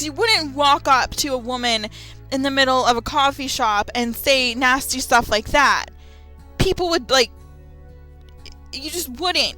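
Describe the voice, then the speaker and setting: A woman in her twenties speaking casually and unscripted to viewers, in an earnest, emphatic, halting way. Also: high-pitched, sharp tone. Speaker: gamer, video maker. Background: background music.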